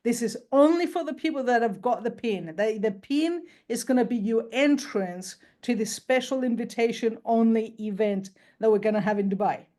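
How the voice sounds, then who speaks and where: A woman's voice: fairly high pitch, moderate pace, few pauses, dark tone. A woman co-hosting a team video call, chatty and encouraging, talking to the group in a casual way. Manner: chatty, encouraging, laughs easily.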